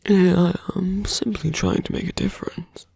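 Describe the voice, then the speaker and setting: A performer voicing a suave, menacing male villain in an intimate ASMR roleplay, slow and teasing, with a breathy, gravelly voice that often sinks to a near-whisper. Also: medium pitch, steady tone, dark tone, dry recording, studio quality. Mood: persuasive, condescending. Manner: slow, deliberate, teasing, condescending.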